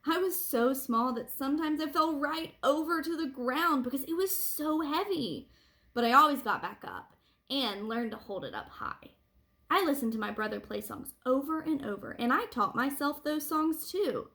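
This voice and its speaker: A woman reading a picture book aloud to children like a teacher, with animated phrasing and deliberate emphasis. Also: high-pitched. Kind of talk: expressive read-aloud storytelling for children.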